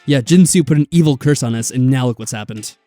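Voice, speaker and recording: A man's voice: mid-range voice, quick pace, dark tone. A man reading a visual novel aloud as a let's player, dropping in wry, exasperated casual asides. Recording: background music.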